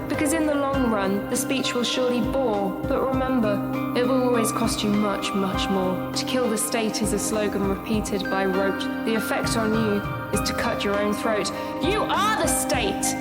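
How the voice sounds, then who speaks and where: A woman's voice: a little high, close to an everyday voice. An actress playing an earnest, bitter young woman poet, declaiming political verse in a radio play. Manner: declamatory, rhyming, deliberate delivery. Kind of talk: performed spoken-word poetry in radio drama. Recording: echoey hall reverb with music.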